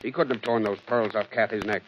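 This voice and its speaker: An actor playing a tough, wry private detective in old radio drama style, clipped and slangy. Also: male voice, fairly low pitch, fairly even intonation, brisk, lightly acted. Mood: accusing, assured. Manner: hard-boiled, clipped, slangy. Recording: dull, noisy old radio recording.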